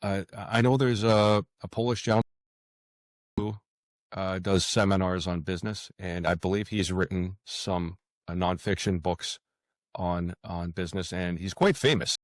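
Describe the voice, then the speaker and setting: A man's voice: low voice, steady tone, moderate pace, dry recording, studio quality. A middle-aged man chatting casually as an interview guest, hesitant and often repeating his words. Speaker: fiction author, interview guest.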